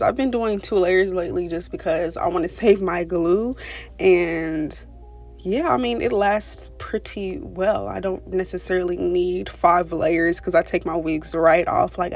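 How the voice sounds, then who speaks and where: A young woman with a raspy voice, talking casually through a hair tutorial voice-over, full of "you know" and "pretty much". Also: lowish voice, a little slow. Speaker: beauty vlogger. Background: soft background music.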